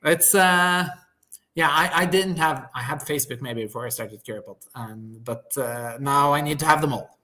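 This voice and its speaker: A man's voice: fairly high pitch, moderate pace, sharp tone. A man from Norway with a Norwegian accent, speaking as a founder in an eager, casual interview, often restarting his sentences. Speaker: company founder, guest. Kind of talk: casual live-stream interview.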